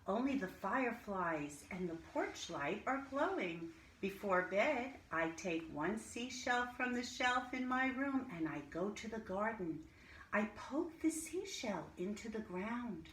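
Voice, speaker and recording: Woman teaching little kids like a storybook read-aloud, really slow, bit of background noise. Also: mid-range voice, expressive, fairly bright tone. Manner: simple, deliberate teacher talk addressed to young children, with questions. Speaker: early-grade teacher reading a picture book aloud to children. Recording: roomy echo, clear background noise.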